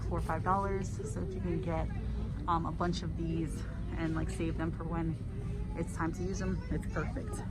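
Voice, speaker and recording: Raspy-voiced woman chatting in a car. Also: on the low side, rough recording. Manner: chatty, many fillers like "um" and "like". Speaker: vlogger, stay-at-home mom. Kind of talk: casual vlog chat. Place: in a car on the road, then in stores. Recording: loud car and road noise. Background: traffic and car noise.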